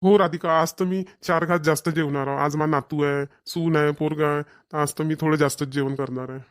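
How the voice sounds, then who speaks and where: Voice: medium pitch, fairly even intonation, brisk, lightly acted. A performer voicing a fond village grandfather in a family cartoon, talkative and homely, with a Varhadi Marathi accent. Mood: cheerful, homely. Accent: Varhadi Marathi, Vidarbha.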